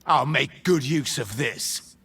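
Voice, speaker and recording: A male actor voicing a defiant, roguish rebel mage and former prisoner in a video game, with theatrical, taunting delivery and a husky voice. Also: medium pitch, lively intonation, slow, bright, forceful tone, full character voice. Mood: smug, taunting. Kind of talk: video game character voice lines.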